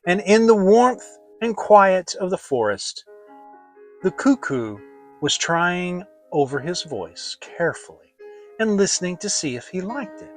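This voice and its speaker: A narrator giving a theatrical, expressive dramatic reading of a children's storybook. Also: medium pitch. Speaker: audiobook narrator.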